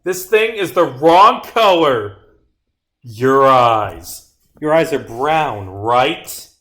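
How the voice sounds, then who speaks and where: A man's voice: mid-range voice, lively intonation, drawn-out words, pushed and bright, exaggerated voice. A man acts out a scatterbrained video game detective. He rambles with cocky confidence, gets sidetracked easily and sounds muddled by his own case. He keeps pushing on regardless. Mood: accusing.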